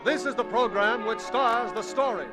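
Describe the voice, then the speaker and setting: A man's voice: extremely high pitch, normal speed, rough recording. A man announcing a program in 1940s radio style. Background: faint music.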